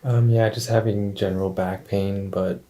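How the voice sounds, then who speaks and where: A man playing a patient in a quiet ASMR roleplay, giving brief, low-key, hesitant answers. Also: fairly even intonation, moderate pace, slight background noise.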